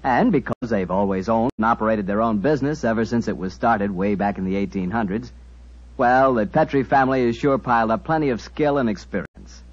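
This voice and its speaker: A man's voice: lowish voice, a little fast. A male radio announcer doing chummy, folksy 1940s-style ad patter. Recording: dull old radio broadcast.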